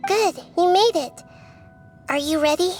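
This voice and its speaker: A woman voicing a gentle, wise young-girl goddess who speaks softly and patiently, in a video game scene. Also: very high voice. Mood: composed. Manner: soft, patient, measured. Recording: game music under voice. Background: game background music.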